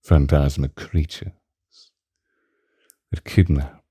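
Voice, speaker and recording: Man as ancient male vampire, ASMR roleplay, rock-bottom pitch, husky breathy murmur, possessive, theatrical, languid, close dry mic. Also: fairly even intonation, dark tone, no echo, full character voice. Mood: captivated, condescending. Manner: languid, archaic, theatrical, with lingering pauses. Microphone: close microphone, dry.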